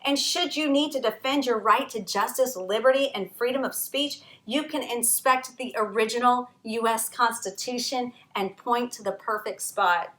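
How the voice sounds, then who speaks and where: A woman author reading her own picture book aloud at storytime, expressive, with dramatic pauses. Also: a little high.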